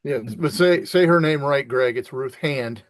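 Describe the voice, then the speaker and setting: A woman's voice: extremely low pitch, measured pace, dry recording. A woman making wry interjections in casual group banter.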